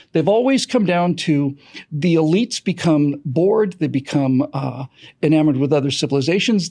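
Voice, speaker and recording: A man's voice: a little high, darkish tone. An American man giving earnest, off-the-cuff commentary as a host, talking emphatically in long, discursive explanations. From United States. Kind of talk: earnest extemporaneous political and historical commentary.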